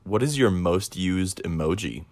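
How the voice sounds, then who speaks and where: A young adult interviewer asking scripted quick-fire questions in a polite, upbeat way. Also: deep voice, animated, a little mellow.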